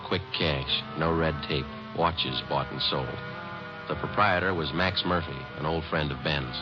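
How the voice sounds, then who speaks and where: A man's voice: very deep voice, unhurried. An American man playing a terse, matter-of-fact police detective sergeant who also narrates a 1950s radio drama. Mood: deadpan. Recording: muffled old radio recording with music.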